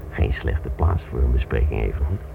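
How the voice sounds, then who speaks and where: An actor playing a brash, jovial American crook in a radio drama, breezy, familiar and talkative. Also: male voice, extremely low pitch, expressive, normal speed, gravelly, full character voice. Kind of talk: Dutch radio drama acting. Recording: muffled, noisy old radio play tape.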